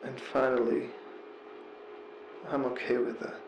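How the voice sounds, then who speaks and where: A man giving a heartfelt, confessional voicemail monologue, earnest and reflective, halting as he repeats words and pauses mid-sentence. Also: mid-range voice, level tone, a little hiss or hum. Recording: roomy echo, music underneath. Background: music.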